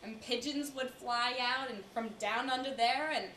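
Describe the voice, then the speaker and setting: A woman's voice: on the high side, steady tone, normal speed, bright, forceful tone. A young woman on stage playing a reluctant, embarrassed old American lady who reminisces in a flustered, confiding way. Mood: dreamy, confiding. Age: young adult. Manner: flustered, confiding, dramatic stops and starts. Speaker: student stage performer. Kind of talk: theatrical stage monologue. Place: indoor college performance before audience. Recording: echoey room, distant mic, faint hiss.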